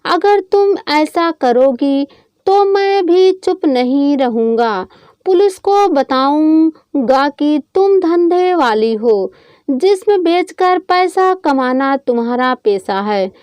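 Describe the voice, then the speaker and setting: Casting: woman reading as callous young college man, cold menace, high voice, slow deliberate threats, audio storybook. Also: lively intonation, a little mellow, no echo. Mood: threatening.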